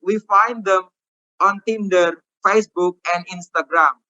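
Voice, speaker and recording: A man with an Indonesian accent reading a prepared witness statement aloud in halting, deliberate phrases. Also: sits high, fairly even intonation, sharp tone, no room sound. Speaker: witness giving testimony. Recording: dull, slightly muffled audio.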